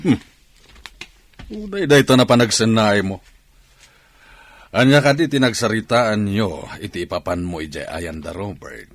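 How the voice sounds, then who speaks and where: A man's voice: lowish voice, lively intonation, measured pace, long pauses, no echo. An actor playing a scheming older businessman and father in an Ilocano radio drama. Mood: demanding, displeased.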